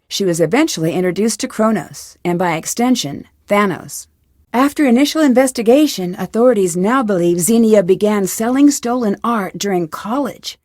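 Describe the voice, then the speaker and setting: A woman's voice: mid-range voice, darkish tone, no room sound, clean studio sound, natural voice. A woman voicing a young female detective who narrates a mystery game's story in a wry, conversational way.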